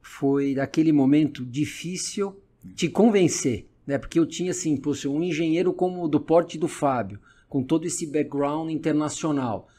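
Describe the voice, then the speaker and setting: A man's voice: a little high, normal speed, warm tone. A middle-aged man hosting a podcast, speaking reflectively with many hesitations and fillers. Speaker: podcast host, dentist. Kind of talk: casual interview conversation.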